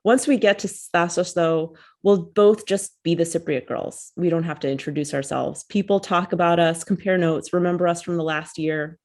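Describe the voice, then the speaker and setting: A woman author reads from her own essay in a calm, reflective rhythm. Her voice sits a little low for a woman. She is heard through a video call microphone. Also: normal speed, hardly any pauses. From United States.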